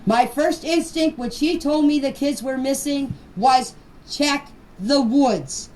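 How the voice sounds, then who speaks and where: An older woman with a high voice gives a blunt, emphatic personal account. She talks slowly and deliberately, as in an unscripted voice message. The amateur clip is noisy and picked up from a distance. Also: a little mellow. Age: 60s or older. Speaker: grandmother giving her personal account.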